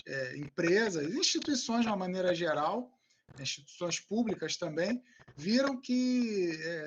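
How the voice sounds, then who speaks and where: A man from Rio, answering informally and a little haltingly as an invited expert on an academic panel. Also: high-pitched, highs cut off, a little hiss or hum.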